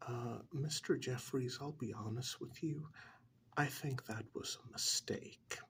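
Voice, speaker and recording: A man playing a calm, frank therapist in a soft-spoken ASMR role-play, talking in a measured, halting, half-whispered way close to the microphone. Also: mid-range voice, slight background noise. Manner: measured, halting, matter-of-fact, professional. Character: calm, frank male therapist counselling a troubled married man.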